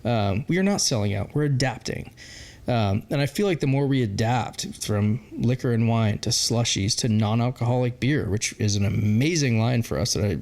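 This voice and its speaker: A man's voice: medium pitch, normal speed, darkish tone. A forty-year-old man answering interview questions as a brewery founder, candid and rambling, with lots of fillers and laughs. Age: 40s.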